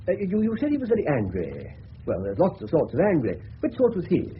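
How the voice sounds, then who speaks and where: Eccentric English colonel, animated intonation. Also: male voice, on the high side, a little fast. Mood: probing, courteous. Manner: clipped, courteous, probing. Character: eccentric, courteous, incisive male police colonel. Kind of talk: 1970s radio drama acting. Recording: muffled, noisy old radio broadcast.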